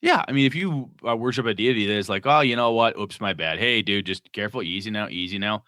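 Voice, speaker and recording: A man's voice: fairly low pitch, fast talker, sharp tone, no room sound, studio quality. A man co-hosting a casual gaming podcast, reading listener letters aloud with jokey asides.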